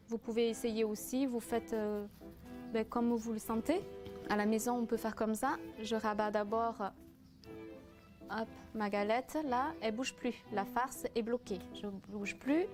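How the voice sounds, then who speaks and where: A woman of Vietnamese origin giving a casual step-by-step cooking demonstration, pausing often with fillers as she explains. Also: fairly high pitch. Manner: hesitant, frequent "euh" fillers, step-by-step explaining. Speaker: cooking association cofounder demonstrating a recipe.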